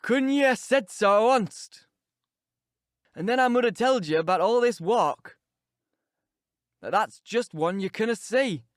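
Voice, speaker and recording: A man's voice: sits high, expressive, unhurried, long pauses, no room sound, studio quality, pitched above the performer's own voice. A man voicing a grumbling, pious old male servant with a broad Yorkshire dialect and a rustic drawl, scolding as he talks. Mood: gruff. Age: older.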